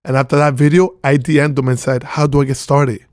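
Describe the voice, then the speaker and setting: A man's voice: medium pitch, little pitch movement, fairly quick, a little mellow, dry recording, clean studio sound. A man from Venezuela, a podcast guest, telling his story in casual chat and acting out his own past reactions. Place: podcast studio.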